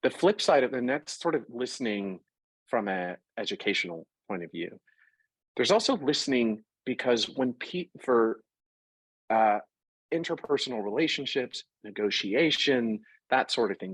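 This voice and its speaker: A man being interviewed about his work in fashion, talking in a lively, conversational way and working through examples with frequent restarts. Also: medium pitch, slightly rough, no room sound, rough recording. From Puerto Rico. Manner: animated, talks through examples with self-corrections. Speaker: interview guest, fashion product executive.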